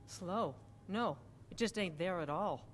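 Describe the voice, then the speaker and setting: An actress playing a plain-spoken, gossipy innkeeper in a fantasy game, talking in a frank, folksy way. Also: female voice, on the low side, expressive, measured pace. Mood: wry.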